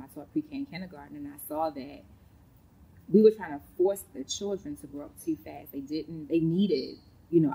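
A woman, a school counselor chatting as an interview guest, warm, with many ums. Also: sits low, slightly rough, poor audio. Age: late 30s. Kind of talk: casual interview talk. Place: large indoor sports facility studio.

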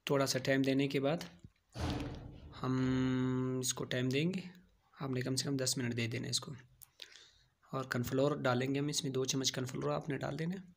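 A man's voice: medium pitch, level tone, moderate pace, vocal fry, pauses often. Man talking through a cooking tutorial. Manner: plain step-by-step instructions, repeats phrases. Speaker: home cooking channel host.

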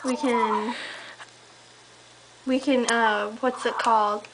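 High-voiced young woman teasing on a call. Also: steady tone, slow, a bit bright. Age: young adult. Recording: noisy amateur home camera recording.

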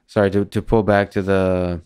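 A man in his thirties from Russia, hosting a podcast interview and asking slow, measured questions into a boom-arm studio mic in a small room. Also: little pitch movement, darkish tone, clear, resonant tone, no echo. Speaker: podcast host.

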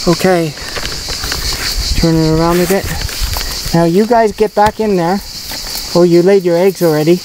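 Chatty woman vlogging outdoors, insects buzzing. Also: mid-range voice. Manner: chatty, repeats and restarts phrases while walking. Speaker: vlogger showing her farm. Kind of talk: casual walk-and-talk vlog. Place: outdoors on a rural farm with insects around. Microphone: handheld camera. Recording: outdoor camera audio with clear insect noise.

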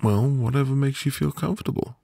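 A man playing a sweet, reassuring newlywed husband in an intimate ASMR roleplay, with a gravelly, breathy voice and a gentle manner. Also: mid-range voice, no echo, studio quality. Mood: gentle, admiring. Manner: slow, gentle, soothing. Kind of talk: ASMR boyfriend roleplay, intimate soft delivery.

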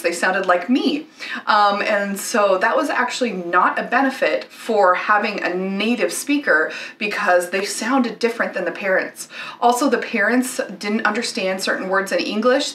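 A woman's voice: medium pitch, a bit bright. An American woman in her thirties talking casually to camera in a chatty, explanatory way. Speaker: company spokesperson, former nanny. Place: indoor living room. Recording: roomy, distant-sounding mic.

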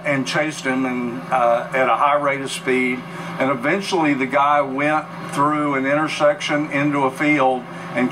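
American man, 60s, mid-pitched, sober halting news interviewee giving a factual account, heard at a distance through noise. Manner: sober, halting, matter-of-fact. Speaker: interview guest. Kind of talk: recounting events in a news interview. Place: news bureau studio, replayed on a TV in a room. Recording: distant TV filmed off screen, clear noise.

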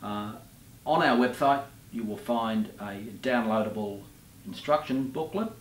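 A man, demonstrating a product off the cuff in a hesitant, halting way. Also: mid-range voice. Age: 50s. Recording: roomy, distant mic with background noise.

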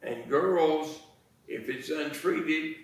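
Echoey, slow-paced American man preaching. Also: a little high. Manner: folksy, deliberate, pausing between phrases. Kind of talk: sermon with folksy storytelling. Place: church sanctuary, indoors. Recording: very echoey church, distant microphone.

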